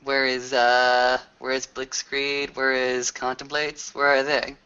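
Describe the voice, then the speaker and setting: Man playing a blunt, forceful werewolf interrogator. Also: mid-range voice, monotone, slow pace, clear, resonant tone. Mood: suspicious, demanding. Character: gruff, suspicious male werewolf questioning a returning kinswoman. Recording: dull lo-fi voice recording.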